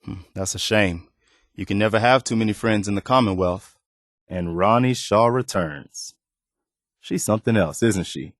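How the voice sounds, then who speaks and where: A man's voice: on the low side, normal speed, no echo, clean studio sound, natural voice. An American man playing an earnest, principled militia soldier, a loyal and plain-spoken companion in a post-apocalyptic video game. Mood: calm, reflective.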